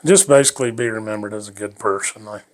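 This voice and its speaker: Older male interviewee, low-ish voice, rambling folksy storyteller who chuckles often. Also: fast talker. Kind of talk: reminiscing life story.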